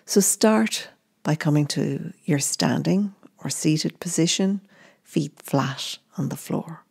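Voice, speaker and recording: A woman gently guiding a meditation in short, unhurried phrases. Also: low-pitched, creaky, husky. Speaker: meditation guide. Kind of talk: guided meditation narration.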